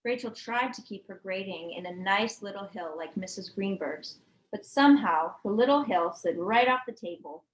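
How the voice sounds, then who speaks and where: A woman reading a children's picture book aloud with expressive storybook narration. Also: medium pitch, normal speed. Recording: echoey room.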